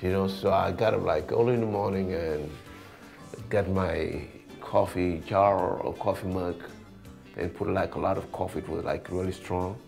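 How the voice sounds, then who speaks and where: A man's voice: sits low, fairly even intonation, moderate pace, creaky, a little mellow, faint music behind. A man with a Senegalese accent talking informally in an interview as an artist, often repeating words and phrases. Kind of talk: casual interview talk.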